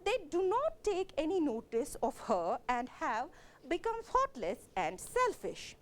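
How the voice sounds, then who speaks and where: A woman's voice: high voice, wildly swooping pitch, unhurried. A woman with a Kashmiri accent giving a literature lecture as a college lecturer, explaining steadily with frequent fillers and self-corrections.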